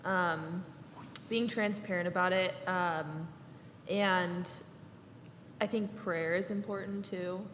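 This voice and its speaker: A woman's voice: fairly low pitch, fairly even intonation, fairly bright tone, a little hiss or hum. Thoughtful young woman, echoey room. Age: 20s. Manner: measured, thoughtful, hesitant. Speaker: podcast guest. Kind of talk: casual podcast conversation. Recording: echoey room with long reverb and faint ambience.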